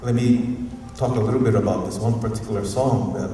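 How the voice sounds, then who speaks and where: A university professor from Hawaii with a Hawaiian accent, giving a rambling, informal, unscripted talk at a community forum and often chuckling. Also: male voice, fairly low pitch, fairly even intonation, a little fast, dark tone. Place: museum auditorium. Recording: echoey hall, distant mic, faint noise.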